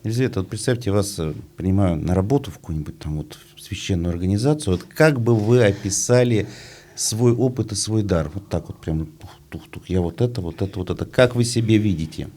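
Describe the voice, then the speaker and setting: Low mellow male interviewer, noisy background. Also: a little fast. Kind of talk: casual interview questioning.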